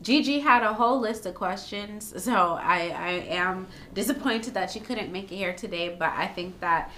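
A woman's voice: mid-range voice, normal speed, bright, forceful tone, slight background noise. Chatty New woman hosting a podcast. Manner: chatty, frank, with frequent fillers. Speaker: podcast host, daycare owner. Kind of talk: casual chat. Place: small home room.

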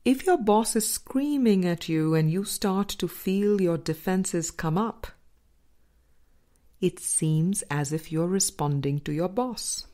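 A woman narrates a spiritual audiobook in a slightly low voice. She reads slowly, setting out each phrase deliberately and leaving measured pauses between them. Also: expressive, dark tone. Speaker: audiobook reader.

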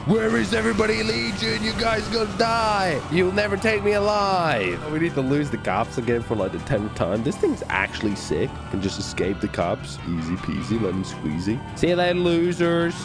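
A man giving excited, boisterous gameplay commentary as a video host, with frequent shouts and swearing. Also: fairly high pitch, normal speed, vocal fry, few pauses, over music.

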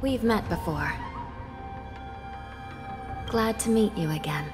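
A woman voicing a stern young female judge of the underworld in a video game, speaking formally and in measured tones, sometimes sinking into a soft, breathy near-whisper. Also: mid-range voice, lightly acted. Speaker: game character. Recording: studio voice over music in long reverb.

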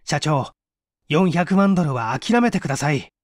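A man's voice: on the high side, sing-song, moderate pace, no echo, studio quality. A man playing a bold, earnest researcher who speaks urgently and persuasively in an audiobook mystery. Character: earnest, bold young male researcher-detective.

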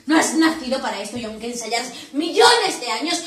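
A woman's voice: high voice, very animated, brisk. A young woman acting on stage as a resentful, wounded adult daughter, intense and accusatory. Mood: scornful, bitter. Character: wounded, resentful adult daughter pouring out bitterness to her mother. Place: small dim theatre set indoors. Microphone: far camera. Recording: roomy echo, distant camera microphone.

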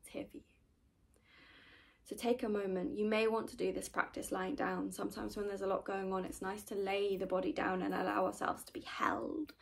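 Female meditation teacher, mid-pitched with noticeable fry, soft and soothing, often near a whisper. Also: normal speed. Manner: gentle, soothing, often trailing into breathy whispers. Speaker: meditation guide. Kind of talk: guided meditation, soft-spoken and unhurried.

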